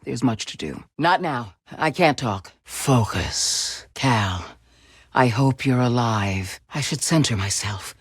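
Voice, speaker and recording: A woman playing a wise, resolute Jedi mentor and resistance leader in a video game, speaking in a measured, solemn, commanding way. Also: rumbling low, animated, breathy edge, no room sound, studio quality, heavily acted. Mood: calm, grave. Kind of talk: dramatic video game character acting.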